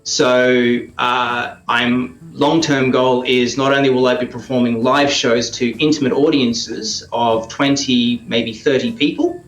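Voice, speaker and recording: A man with an Australian accent giving conversational interview answers in a halting way, as if thinking aloud. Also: mid-range voice, steady tone, a bit bright, reverberant, highs cut off, soft background music. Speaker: radio play producer and performer, interview guest.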